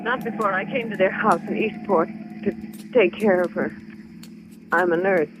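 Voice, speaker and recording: An actress playing a guilty nurse who confesses in a subdued, halting way in an old radio drama. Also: female voice, mid-range voice, lightly acted. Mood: subdued confession. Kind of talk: 1940s radio acting. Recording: slightly noisy old radio recording.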